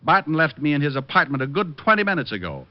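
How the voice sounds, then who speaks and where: Fast-talking hardboiled radio detective man. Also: fairly high pitch, very animated, dry recording. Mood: stern, accusing. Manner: hardboiled, clipped. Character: brisk, wry male private detective, tough and sure. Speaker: lead actor and narrator. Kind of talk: old-time radio crime drama acting, first-person narration. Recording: dull old radio recording, music behind.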